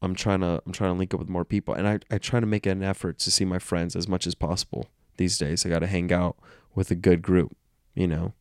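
A man's voice: low voice, level tone, fairly quick, few pauses, darkish tone, clean tone, no echo, studio quality. A man hosting a casual solo podcast, congested and nasal from illness, rambling and self-aware. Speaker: podcast host.

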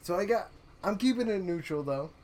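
A man's voice: high voice, animated, fairly quick, heavy background noise. A young man co-hosting a podcast, talking in a rambling, earnest way with frequent restarts and laughs. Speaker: podcast co-host. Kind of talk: casual chat.

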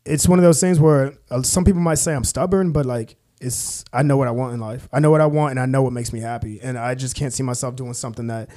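A young man from Kansas, a podcast guest telling his life story in a casual, halting way, full of fillers and restarts. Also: mid-range voice, fairly even intonation, dark tone. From Kansas, USA. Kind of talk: casual conversational interview.

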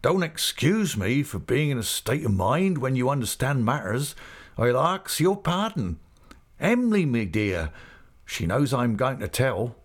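A man voicing a rough, big-hearted seafaring uncle in an audiobook, effusive and excited, with a rustic East Anglian accent. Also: mid-range voice, unhurried, pitched above the performer's own voice. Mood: proud, excited. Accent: East Anglian English rustic dialect. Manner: rapturous, effusive, breathlessly excited. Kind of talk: character voice in audiobook reading.

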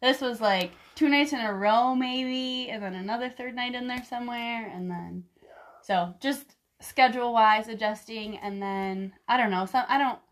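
A woman's voice: on the high side, normal speed. A woman in her thirties with a slightly stuffy voice, co-hosting a podcast in loose, unscripted conversation peppered with "like". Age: 30s. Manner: frequent "like" filler. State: slightly congested. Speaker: podcast co-host. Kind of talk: casual unscripted chat.

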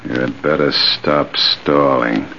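Very deep, gravelly, menacing gunman. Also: fairly even intonation, pushed and bright, full character voice. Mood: cold menace. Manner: slow, controlled threats. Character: cold, menacing male intruder with a gun. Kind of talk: 1940s radio acting. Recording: muffled old radio with faint noise.

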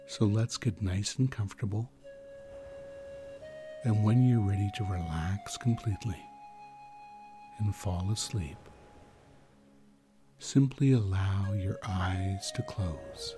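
A man narrating a guided sleep meditation in a soothing manner, leaving long pauses. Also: on the low side.

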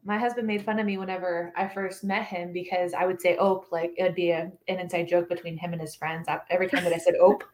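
A woman's voice: on the low side, level tone, fast, hardly any pauses, darkish tone, roomy sound. Young woman, Midwestern accent, podcast co-host, casual rambling, filler words. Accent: Midwestern American. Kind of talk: casual chat.

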